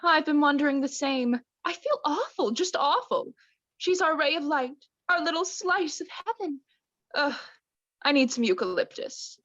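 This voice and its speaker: A woman's voice: sits high, animated, moderate pace, a bit bright, no echo. A young woman playing an oblivious, doting mother with an arch, theatrical manner in a radio play. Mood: doting, fretful. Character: doting, oblivious mother, affectedly genteel wife. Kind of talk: student radio play acting. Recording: dull, muffled lo-fi home recording.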